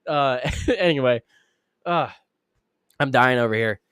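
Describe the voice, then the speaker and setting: Male live-show host with a raspy, slightly sick voice, mid pitch, rambling delivery, dry close sound. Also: a little slow, a bit bright, no echo. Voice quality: raspy, deeper than usual. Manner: rambling, many restarts and fillers. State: sick, clearing throat and coughing. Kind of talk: casual live-stream commentary.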